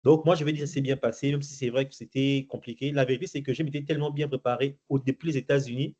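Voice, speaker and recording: A man's voice: medium pitch, steady tone, quick pace, dark tone. A middle-aged man from Gabon answering interview questions, hesitant, with many fillers and restarts. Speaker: interview guest. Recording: muffled lo-fi recording.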